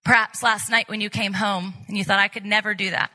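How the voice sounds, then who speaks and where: A woman's voice: mid-range voice, flat delivery, fairly quick, sharp tone, poor audio. A woman conference speaker giving an earnest, exhorting faith talk, repeating phrases for emphasis. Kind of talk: motivational faith talk to an audience.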